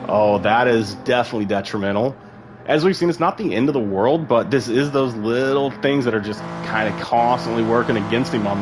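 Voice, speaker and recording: A man giving casual, rambling live commentary on an online sim race, full of fillers and asides. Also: mid-range voice.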